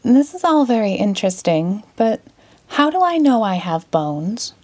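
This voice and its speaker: A woman's voice: mid-range voice, big pitch swings, unhurried, a little mellow. A woman voicing a polite, curious little girl in a storybook, earnest and inquisitive.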